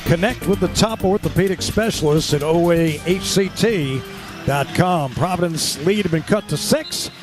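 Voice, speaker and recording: A man's voice: fairly high pitch, moderate pace, over music. A man calling live basketball play-by-play for radio in clipped, urgent phrases. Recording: clear arena noise behind the voice.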